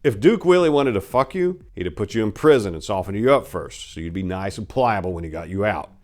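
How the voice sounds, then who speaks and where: A man's voice: lowish voice, expressive, a little fast, darkish tone, natural voice. A man voicing a domineering, explosive younger crime boss in a crime story, commanding and profane as he interrogates. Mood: furious shouting.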